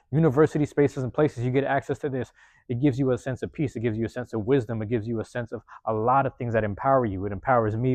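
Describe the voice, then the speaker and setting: A man's voice: mid-range voice, a little mellow, dry recording. Slangy man riffing, dry room. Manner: loose, repetitive, slangy street talk. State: voice going hoarse. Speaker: podcast host. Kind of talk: casual motivational monologue. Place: university room.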